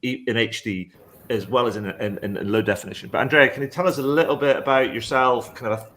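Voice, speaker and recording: A man hosts a panel discussion in an informal, chatty style. His voice sits at a middling pitch. He rambles a little and is complimentary toward the people he addresses. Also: quick pace, fairly bright tone. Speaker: panel moderator. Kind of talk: casual panel hosting.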